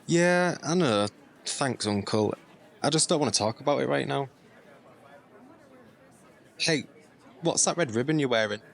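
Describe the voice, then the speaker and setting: An actor playing a quiet, reserved nineteen-year-old nephew in an audio drama. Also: male voice, medium pitch, lively intonation, a little slow, vocal fry, long pauses, some chatter behind. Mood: reserved, curious. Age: young adult. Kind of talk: audio drama acting, naturalistic dialogue.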